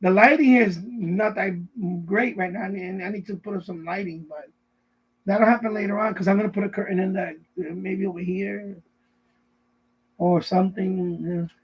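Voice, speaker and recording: Middle-aged male streamer, high raspy voice, casual rambling chat with viewers, loops back over his words. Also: steady tone, normal speed, rough recording. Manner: rambling, repetitive, answering viewers' messages. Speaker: live stream host. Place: basement at home.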